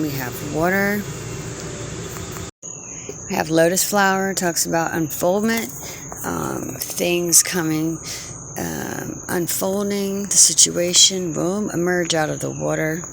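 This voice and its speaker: A woman giving an improvised card reading to viewers as a psychic medium, speaking in a halting, musing way and trailing off between phrases. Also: low voice, creaky, background noise.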